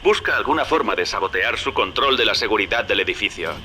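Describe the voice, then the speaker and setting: An actor playing a shrewd businessman guiding the player in a game, with a smooth, scheming manner. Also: fairly low pitch, expressive, quick pace, noisy background, close to an everyday voice. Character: Augustus Sinclair, shrewd businessman guide on radio. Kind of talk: game voice acting.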